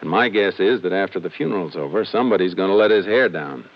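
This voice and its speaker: An actor playing a calm, methodical Texas Ranger in a 1950s radio crime drama, speaking tersely and matter-of-factly. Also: male voice, sits low, fast talker. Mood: quietly intent. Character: calm, methodical Texas Ranger lawman narrating his case. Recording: noisy, muffled 1950s radio recording.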